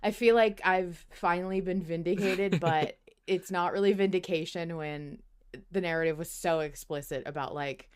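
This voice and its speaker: A woman's voice: fairly low pitch, steady tone, normal speed, darkish tone, clear, resonant tone. A woman hosting a podcast, talking in a casual, conversational and emphatic way. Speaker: podcast host.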